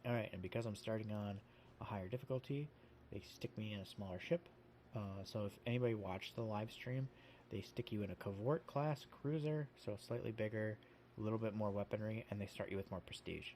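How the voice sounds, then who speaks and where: A man with a fairly low, warm voice talks through a video game in loose, casual commentary. He's a little sniffly from allergies and keeps stopping to fix his wording mid-sentence. There's a faint hum of room noise behind him. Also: a little fast. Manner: rambling, self-correcting, lots of fillers. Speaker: let's play host.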